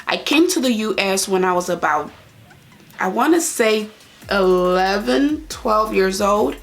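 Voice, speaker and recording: Casting: young adult woman, Liberian accent, mid-pitched voice, conversational vlogger speaking straight to viewers. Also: animated, measured pace, vocal fry. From Liberia, raised partly in Ivory Coast. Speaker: vlogger answering viewer questions. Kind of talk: casual chat to camera.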